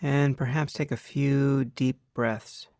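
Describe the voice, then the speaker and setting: A man leading a guided meditation as a teacher, speaking in an unhurried, measured way with long pauses. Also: medium pitch, steady tone, a little mellow, dry recording, studio quality.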